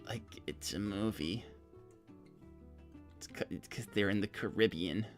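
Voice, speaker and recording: A man's voice: lowish voice, unhurried, soft background music, close to an everyday voice. A man playing an awkward, eager teenage hedgehog in an improvised cartoon role-play, stammering, rambling and backtracking. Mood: curious, casual.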